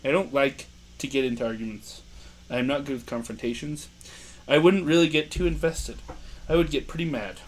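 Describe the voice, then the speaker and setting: A young man with a loud voice, co-hosting a casual podcast, joking, laughing and reading quiz questions aloud. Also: mid-range voice, a little fast, rough recording. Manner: joking, playful, laughs often. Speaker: podcast co-host.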